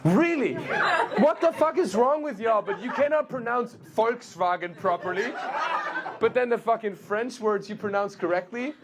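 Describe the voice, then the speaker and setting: A man's voice: high-pitched, animated, normal speed, a crowd in the background. A man doing a comic rant, mocking how people say words. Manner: mocking, mimics voices. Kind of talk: comedic rant.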